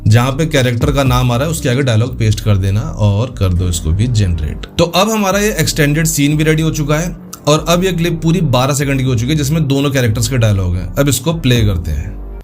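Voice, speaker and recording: A man hosting a casual step-by-step software tutorial, explaining informally and thinking aloud as he demonstrates. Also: mid-range voice, lively intonation, brisk, soft background music. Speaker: tutorial host.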